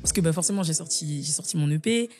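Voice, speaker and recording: A young woman from Guiana, a singer answering interview questions casually, pausing often on fillers and restarting her sentences. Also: low-pitched, darkish tone, faint music behind. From French Guiana. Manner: hesitant, many fillers and restarts. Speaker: singer, guest.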